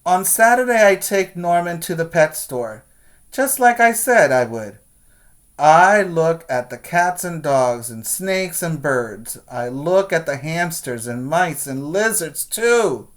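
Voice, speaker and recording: A man's voice: on the high side, very animated, takes it slowly, a bit bright. A man teaching preschoolers, speaking in an instructive, question-and-answer way as he reads aloud and guides young children.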